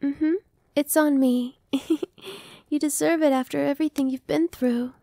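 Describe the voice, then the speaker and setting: A woman voicing a cheerful, newly turned young female vampire in an ASMR roleplay, speaking softly and reassuringly, sometimes dropping to a whisper. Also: high-pitched, steady tone, a little slow, vocal fry, no room sound. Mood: playful. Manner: soft, reassuring, playful, drops to whispers. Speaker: voice actress.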